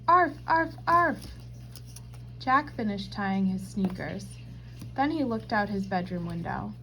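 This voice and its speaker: A woman reading a children's storybook aloud in scripted, expressive narration. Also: medium pitch, measured pace, creaky, poor audio.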